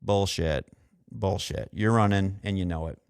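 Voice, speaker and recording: A man's voice: deep voice, fairly even intonation, a little slow, darkish tone, smooth, dry recording, studio quality. An American man hosting a podcast, giving a casual, opinionated rant in a blunt, exasperated manner that includes swearing. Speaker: podcast host.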